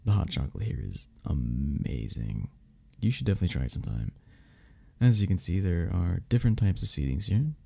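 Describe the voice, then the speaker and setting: Man playing a flirty, low, gravelly charmer. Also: big pitch swings, fairly quick, warm tone, full character voice. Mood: gentle, inviting. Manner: teasing, reassuring, chuckles between phrases. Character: flirty, friendly male cuddle club member showing a newcomer around. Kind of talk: ASMR romance roleplay, one-sided conversation.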